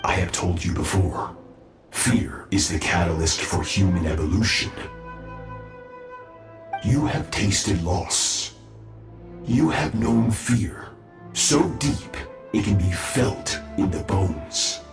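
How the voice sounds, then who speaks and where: An actor playing a cold, imperious armored abyssal master in a video game, with solemn, grandiose pronouncements. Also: male voice, low-pitched, animated, takes it slowly, long pauses, exaggerated voice. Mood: cold menace. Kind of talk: dramatic video game voice acting. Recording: echoing voice over game music.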